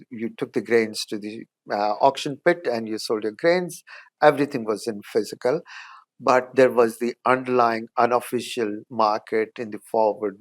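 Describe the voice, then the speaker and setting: A middle-aged man with an Indian accent speaks as a professor in an interview. He explains at a measured pace, stopping often with small hesitations. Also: medium pitch. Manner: measured, explanatory, frequent "uh" pauses. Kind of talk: interview answers.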